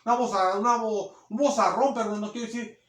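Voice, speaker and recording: A man delivers a sermon in a very high, ringing voice. His naturally powerful voice stays partly held back, then swells into emphatic, louder stretches. The sound carries the echo of a reverberant church room. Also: steady tone, fairly quick. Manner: loud, strong voice held back, rising to emphatic bursts. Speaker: preacher.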